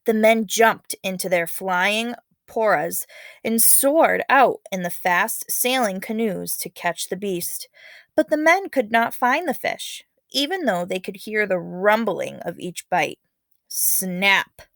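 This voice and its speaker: Female teacher, medium pitch, instructive and casual, reading a storybook to students with expression; dry recording. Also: lively intonation, slow, creaky, fairly bright tone, dry recording. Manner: instructive, checks in with okay and all right. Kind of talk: expressive read-aloud with casual teaching asides.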